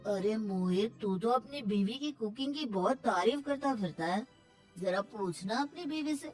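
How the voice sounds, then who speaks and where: A woman's voice: a little high, lively intonation, normal speed, a little mellow, faint music behind, natural voice. A woman voicing a mocking, grumbling male friend in a cartoon moral story. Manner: mocking, complaining.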